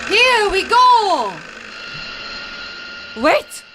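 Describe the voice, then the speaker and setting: A woman's voice: very high-pitched, big pitch swings, drawn-out words, background noise. A woman playing a bossy Soviet spy nun. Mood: commanding. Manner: put-on heavy Russian accent, barking orders. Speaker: amateur radio play actor.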